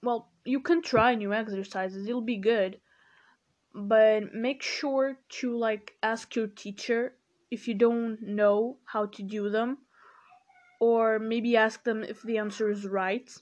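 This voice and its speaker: Teen girl, unhurried rambling talk, slightly high voice, dull lo-fi sound. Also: steady tone, darkish tone. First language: Portuguese. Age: teens. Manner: rambling, many fillers like "you know" and "like". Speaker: podcast host. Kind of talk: casual study-tips talk.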